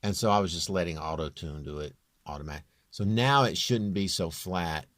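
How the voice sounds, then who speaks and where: A man with a low, near-bass voice talking through a home music production tutorial in a halting way, thinking aloud as he works. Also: a bit bright, dry recording, studio quality. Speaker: home musician demonstrating his vocal production. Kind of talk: informal screen tutorial.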